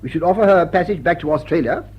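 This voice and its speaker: An actor playing a pompous, self-righteous upper-class English gentleman in a period comedy, speaking formally and hesitantly. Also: fairly high pitch, very fast, husky, lo-fi sound. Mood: pompous, stiff. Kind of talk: period stage comedy radio acting.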